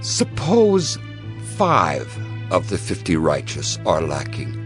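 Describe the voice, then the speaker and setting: A man's voice: deep voice, huge pitch swings, slow, faint music behind, exaggerated voice. A man playing a humble old biblical patriarch, beseeching and deferential in a dramatized scripture reading. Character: humble old patriarch Abraham, reverently bargaining with God.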